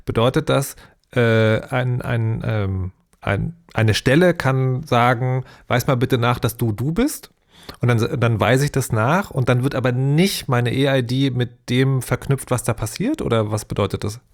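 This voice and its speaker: A man's voice: medium pitch, a little slow. A male podcast host asks follow-up questions, stumbling through restarts before landing on his point. He has a mid-range voice for a man and keeps a light, joking manner. His delivery is conversational and unpolished. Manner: inquisitive, hesitant restarts, joking. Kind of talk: podcast discussion.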